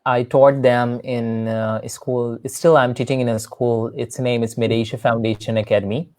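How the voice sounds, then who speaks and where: A man's voice: mid-range voice, little pitch movement, moderate pace, a little mellow, no room sound. A man from Pakistan, an English teacher, talking earnestly in a casual conversation and often restarting with "I mean". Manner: earnest, repeats himself with "I mean".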